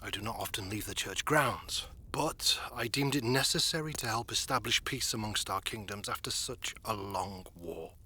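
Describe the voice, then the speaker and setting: Husky, bright, dignified churchman played by a man. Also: on the low side, moderate pace, hardly any pauses, full character voice. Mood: courteous, formal. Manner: formal, polite. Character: dignified, courteous church leader chairing a council. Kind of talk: audio roleplay drama acting.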